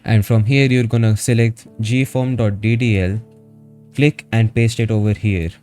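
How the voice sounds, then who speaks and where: A man narrating a step-by-step software tutorial in a casual, instructional manner, as a streamer would. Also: on the low side, steady tone, normal speed. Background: background music.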